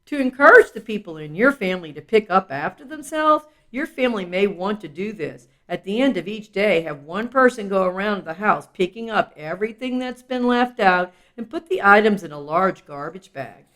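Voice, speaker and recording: A woman's voice: medium pitch, animated, moderate pace, few pauses, fairly bright tone. A woman reading a children's self-help book aloud in an instructive, explanatory way, with casual asides.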